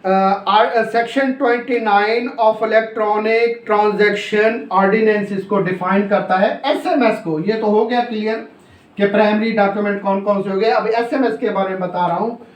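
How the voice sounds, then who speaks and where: A high-voiced man with a Pakistani accent teaches law in an echoey room. He goes slowly, repeating key phrases as if dictating notes, and poses questions that he then answers himself. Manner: repetitive, explanatory, asks and answers own questions; mixes in English legal terms. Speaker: law lecturer. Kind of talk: classroom lecture, explaining and dictating notes. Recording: echoey, roomy sound.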